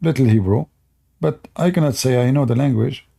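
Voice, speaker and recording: Gravelly Arabic-accented man explaining. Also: medium pitch, brisk, dark tone. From Middle East. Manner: unscripted explaining, with fillers and repetition. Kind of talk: live stream talk.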